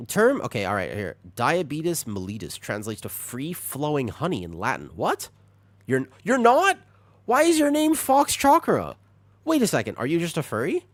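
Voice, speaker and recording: A man streaming a video game, chatting with viewers, reacting with excited high bursts and then dropping into quiet asides. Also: unhurried, dry recording. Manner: animated, jumps into high excited bursts while reading chat; drops to low, quiet asides while setting up. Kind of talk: live-stream chat while gaming.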